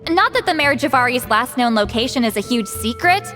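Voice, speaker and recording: A woman's voice: a little high, expressive, fairly quick, fairly bright tone, big-room sound, faint music behind. A woman voicing a proud, teasing spirit guide in a video game, brash and offhand in manner. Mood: confident, offhand. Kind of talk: English video game voice acting.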